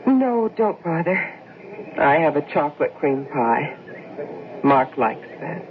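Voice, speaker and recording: A woman's voice: deep voice, very animated, takes it slowly, phone-quality sound, full character voice. A woman acting an earnest, insistent married daughter and housewife in a radio drama. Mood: weary. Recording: thin, hissy old radio broadcast.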